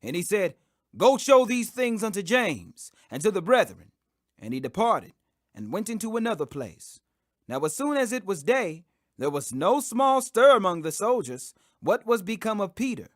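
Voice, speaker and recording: High-pitched, animated man reading scripture. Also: normal speed, no echo, studio quality. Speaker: Bible reader.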